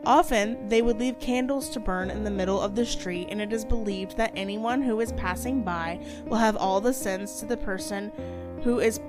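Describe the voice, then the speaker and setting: A woman's voice: mid-range voice, normal speed, music in the background. A woman co-hosting a podcast, chatting casually and reading out stories. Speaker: podcast co-host telling stories.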